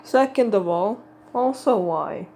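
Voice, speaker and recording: A woman giving casual, unscripted reaction commentary in a halting, exasperated way, swearing freely. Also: medium pitch, lively intonation, creaky, mellow, a little hiss or hum.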